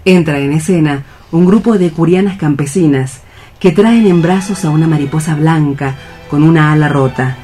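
A man's voice: on the high side, normal speed, mellow. A man narrating radio theatre, reading out scripted stage directions. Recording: faint music behind the voice. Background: faint music.

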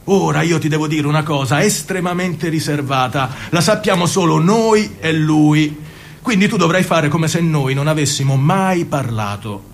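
An actor playing Jimmy, a scheming, smooth-talking factory man who wheedles and then bursts into rally-style speeches, in a radio play. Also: moderate pace, close to an everyday voice. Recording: radio broadcast with faint rumble.

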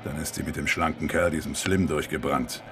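A man playing a sly streetwise informant in a German radio crime drama, with a drawn-out, scheming delivery. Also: rumbling low, fairly even intonation. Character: sly streetwise male informant who quotes scripture.

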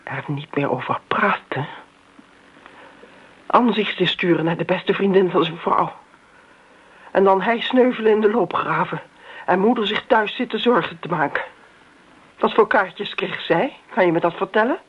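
Actress as middle-aged woman, husky, pointed and probing, radio-play delivery, muffled lo-fi sound. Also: mid-range voice, normal speed, long pauses, natural voice. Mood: accusatory. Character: insistent grown daughter confronting an older family friend. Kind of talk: radio drama acting. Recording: dull, lo-fi radio drama recording.

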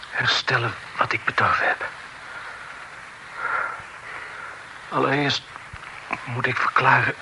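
Dying proud gentleman confessing, weak broken phrases, radio drama, bit of hiss behind. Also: mid-range voice. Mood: weak, solemn. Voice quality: breathy, halting, weakened. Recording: radio recording with faint noise.

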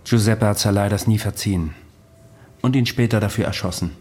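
A man's voice: lowish voice, a little fast, a little mellow, slightly rough. An actor playing a dry, candid immortal witness recounting his long past in a conversational radio drama. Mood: wry, reminiscing. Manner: matter-of-fact, wry.

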